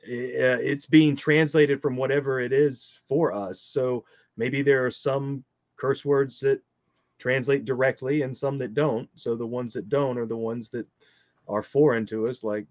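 A man's voice: medium pitch, fairly even intonation, unhurried, darkish tone, dry recording. Wry male podcast guest, dry muffled mic. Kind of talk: casual panel discussion.

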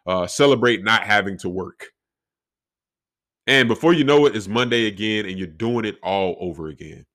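A young man with a deep voice talking reflectively on his solo podcast, with faith woven into his talk. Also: a bit bright. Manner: reflective, faith-filled, conversational. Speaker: podcast host.

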